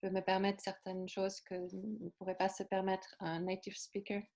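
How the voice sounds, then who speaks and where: Flat-toned woman interpreting. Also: mid-range voice, normal speed, vocal fry. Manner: hesitant, many euhs and restarts. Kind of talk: consecutive interpreting.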